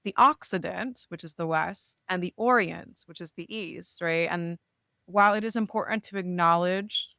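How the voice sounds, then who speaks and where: A woman's voice: on the low side, normal speed, gravelly, bright, forceful tone, no echo. A woman, a therapist speaking reflectively in a casual podcast chat, often checking in with "right?". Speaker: therapist, guest.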